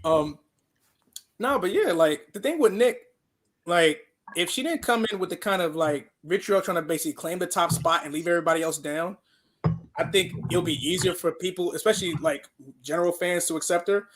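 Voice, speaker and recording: High-voiced, laid-back man. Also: a little fast, fairly bright tone. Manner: laid-back, repeats words, slangy. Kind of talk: casual chat on a live stream.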